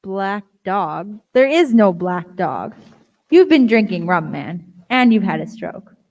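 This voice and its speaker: A woman's voice: mid-range voice, big pitch swings, slow, a little mellow. A woman playing a brusque, no-nonsense male country doctor, stern and blunt, in a radio play. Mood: stern, scolding.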